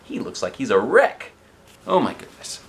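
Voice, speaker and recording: A man's voice: medium pitch, moderate pace, a little hiss or hum. A man in his thirties reading a children's book aloud to kids from his living room sofa, with playful asides. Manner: animated, playful character voices. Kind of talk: expressive read-aloud with asides.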